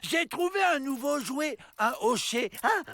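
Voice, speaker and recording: Dopey, very high cartoon voice. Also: male voice, lively intonation, measured pace, noisy background. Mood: carefree. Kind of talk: animated cartoon acting. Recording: music behind the voice.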